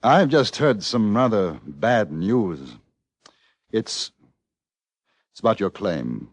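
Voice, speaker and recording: Expressive, courteous correspondent. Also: male voice, fairly low pitch, dry recording, full character voice. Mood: polite, concerned. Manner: polite, measured, formal. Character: courteous British newspaper correspondent in the Old West. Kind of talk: old-time radio drama narration and dialogue.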